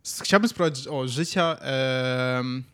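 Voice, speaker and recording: A man's voice: medium pitch, slow pace, fairly bright tone, clean tone. A man co-hosting a podcast, chatting casually and musing aloud as he searches for words. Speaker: podcast co-host. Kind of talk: casual chat, musing and telling anecdotes.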